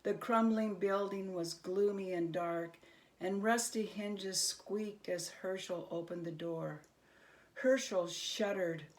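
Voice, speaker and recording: A woman narrating a children's story as an expressive storyteller. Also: medium pitch, slow.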